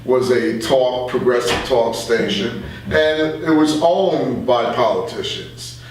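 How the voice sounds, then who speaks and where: An older man reflecting in a casual interview as a veteran radio guest, animated and repeating phrases for emphasis. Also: mid-range voice, takes it slowly, recorded from a distance. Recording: very echoey room, off-mic, faint hum.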